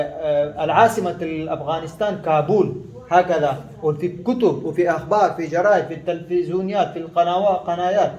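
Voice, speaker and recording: High-voiced man teaching in an echoey room. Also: dark tone, muffled recording. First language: Pashto. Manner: halting, with many fillers and restarts, repeating words slowly. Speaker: language teacher. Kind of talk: casual spoken lesson.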